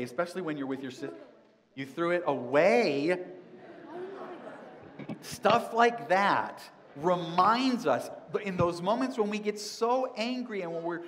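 A middle-aged man, a pastor, giving a children's sermon and asking the kids many gentle questions. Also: on the high side. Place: large church sanctuary. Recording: long church reverb.

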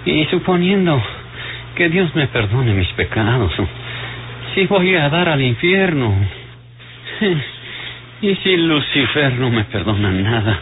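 An actor playing a bitter, sardonic dying old rogue in a radio serial, with a Mexican accent and a groaning, halting, rustic way of talking. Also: male voice, medium pitch, very animated. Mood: sardonic, rambling. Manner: rustic, sardonic, colloquial speech. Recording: muffled, hissy old radio drama recording.

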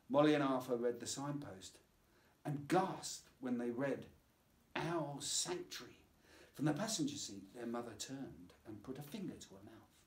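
Expressive, slow-paced male children's storyteller. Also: mid-range voice. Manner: measured, expressive. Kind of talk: scripted children's story narration. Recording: roomy, echoing voice.

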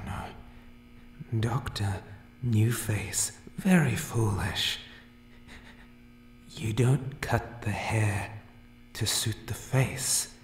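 A man voicing an unhinged, hair-obsessed monster barber in a horror ASMR roleplay, muttering broken, repetitive phrases in a creepy, pleading, breathy whisper. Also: on the low side, roomy sound, a little hiss or hum. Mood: agitated, pleading, then obsessive, eerie.

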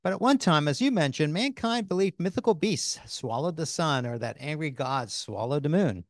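A man's voice: a little high, animated, no echo. Older male co-host, upbeat scripted delivery, dry studio sound, handheld mic. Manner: upbeat, reads scripted lines with quick affirmations. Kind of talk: scripted corporate town hall chat. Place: studio set in front of a screen.

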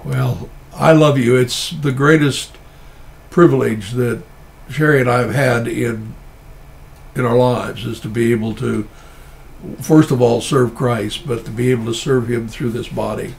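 A middle-aged man, a pastor with a stuffy nose, preaching an earnest, measured sermon and often restarting his phrases. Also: mid-range voice, steady tone, vocal fry.

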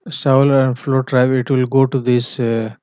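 A man tutoring on plumbing drawings, walking through a screen share in a halting way with many fillers and self-corrections. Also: medium pitch, flat delivery, darkish tone, clean tone, no room sound. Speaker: MEP engineering tutor. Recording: dull, dry, lo-fi voice-over.

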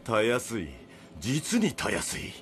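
A man playing a gloating enemy submarine commander in an audio drama, husky, commanding and theatrical. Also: medium pitch, huge pitch swings, a little slow, heavy background noise. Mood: smug, gloating. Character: smug male villain submarine commander. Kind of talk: Japanese audio drama acting.

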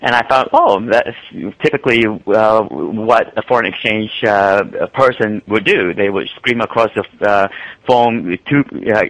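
A man's voice: lowish voice, a little slow, fairly bright tone, dry recording, poor audio. A man from Malaysia, a guest trader and author, answering interview questions casually with many ums and restarts.

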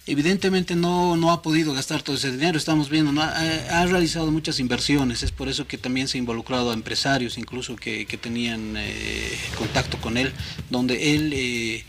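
Police officer, measured and halting. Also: male voice, medium pitch, steady tone, a bit bright, a little hiss or hum. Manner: measured, official, many hesitations and tag "¿no?". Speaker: police major, anti-corruption unit chief. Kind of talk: studio TV interview. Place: TV studio.

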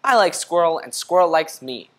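A man's voice: fairly high pitch, animated, normal speed, natural voice. Young man voicing an earnest, fretful storybook donkey. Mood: apologetic, simple. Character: naive, anxious young male donkey in a storybook.

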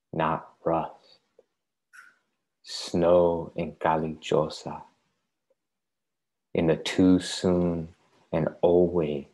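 A man's voice: rumbling low, darkish tone. A man in his thirties reading and introducing poems from home on an earbud mic over a video call. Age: 30s. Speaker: poet at a reading. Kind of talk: poetry reading with casual introductions. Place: home living room, indoors. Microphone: wired earbuds on video call.

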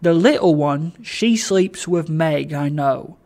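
A kindly, poor old father from a storybook, acted out by a narrator, with a creaky, gravelly voice and an unhurried pace. He is cheery and eager, rambling on and tripping over his own words in a flustered way. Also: medium pitch, darkish tone. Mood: delighted, teasing. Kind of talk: dramatised storybook reading.

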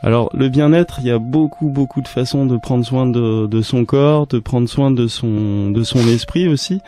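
Male radio guest, thirties, soft mellow tone, searching for his words, close studio mic. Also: medium pitch, moderate pace. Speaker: guest, wellness fair organiser. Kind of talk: radio interview answers. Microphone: close studio microphone, headphones.

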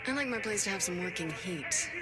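A woman's voice: medium pitch, very animated, fairly quick, loud music behind the voice, lightly acted. An actress playing a tough, sarcastic female gang boss in a video game, blunt and foul-mouthed. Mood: sardonic. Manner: blunt, sardonic.

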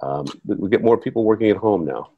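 A man's voice: fairly low pitch, lively intonation, fast talker, breathy edge, no room sound. A man in his sixties asking conversational interview questions over a dull video call from home. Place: room at home, indoors. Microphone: computer video call.

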